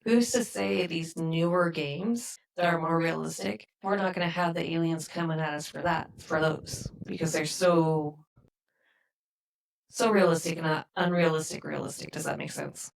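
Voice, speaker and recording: Middle-aged mom type hosting a casual chat, really creaky gravelly voice. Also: female voice, sits low, fairly even intonation, moderate pace, low-quality recording. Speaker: podcast host.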